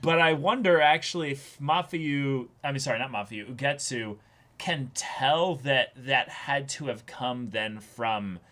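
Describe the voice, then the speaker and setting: A young man co-hosting a casual, analytical discussion, haltingly thinking aloud as he goes. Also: mid-range voice, a bit bright. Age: young adult. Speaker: reaction show co-host. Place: small indoor recording setup, seated before a backdrop.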